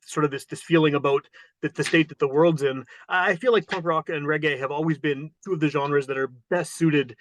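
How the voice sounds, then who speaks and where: A man's voice: on the high side, fairly even intonation, fairly quick. A man hosting a podcast, asking long winding questions full of restarts and repeated words. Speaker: podcast host. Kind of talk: interview questions.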